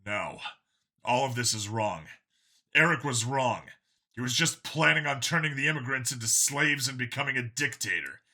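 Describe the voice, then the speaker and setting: Guy reading as grim space cop, quiet but firm, serious, tense sharp voice, audiobook style. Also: medium pitch, expressive, normal speed, exaggerated voice. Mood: grave, firm. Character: grim armed alien-world cop, human man, morally resolute.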